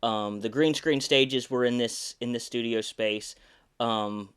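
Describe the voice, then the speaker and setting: A man's voice: medium pitch, a little slow, a bit bright, clean tone. A man in his thirties hosting a podcast, telling behind-the-scenes stories casually with many ums and restarts. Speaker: podcast host, puppeteer.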